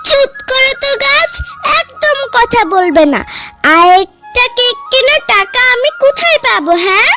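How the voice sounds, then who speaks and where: Very high-pitched little cartoon girl. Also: animated, a little slow. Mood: tearful, upset. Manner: plaintive, childlike. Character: poor tearful little girl, pleading and excitable. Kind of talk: cartoon story voice acting. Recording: loud music under the voice.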